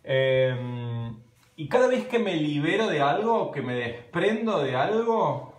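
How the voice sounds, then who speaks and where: A man's voice: fairly high pitch, takes it slowly. A man in his thirties with an Argentine accent, chatting casually and animatedly on a live stream from his home kitchen, filmed on a phone held at arm's length. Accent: Argentine Spanish. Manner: chatty, animated, gesturing while talking. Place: home kitchen at night, indoors. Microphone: phone camera at arm's length. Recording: roomy, echoey room.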